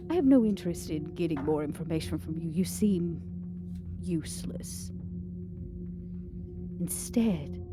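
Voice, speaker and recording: A woman playing a mocking, coolly sadistic vampire interrogator, making deliberate, sardonic threats in a tabletop game. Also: on the low side. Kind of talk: tabletop role-play.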